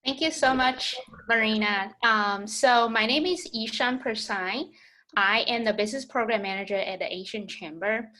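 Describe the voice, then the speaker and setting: A woman's voice: fairly high pitch, moderate pace, sharp tone, low-quality recording. A young woman from Taiwan, English not her first language, presenting programs in an online meeting with frequent fillers. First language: Mandarin Chinese or Taiwanese. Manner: friendly, filler-heavy, explaining programs. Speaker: business program manager. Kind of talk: virtual presentation.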